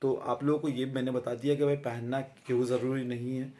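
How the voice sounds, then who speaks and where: A man in his thirties giving a casual fitness talk straight to camera, chatty and friendly, often pausing with filler sounds and tag questions, recorded on a phone held close in a small room. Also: mid-range voice, flat delivery, dark tone. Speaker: fitness vlogger.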